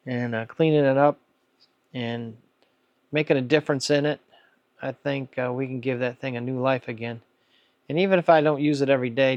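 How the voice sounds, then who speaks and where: A man's voice: mid-range voice, clean tone, dry recording, dull audio. A man in his fifties chatting casually and unscripted as a hobby video host, in an easygoing, rambling, folksy way. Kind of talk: casual vlog chat, unscripted.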